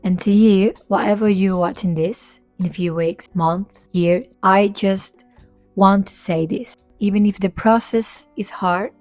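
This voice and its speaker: A young woman from Spain, whose first language is Spanish, talking in English in a soft-spoken, candid and reflective way to her camera. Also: medium pitch, steady tone, unhurried, no echo, soft background music. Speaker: vlogger and independent singer. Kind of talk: improvised personal talk to camera.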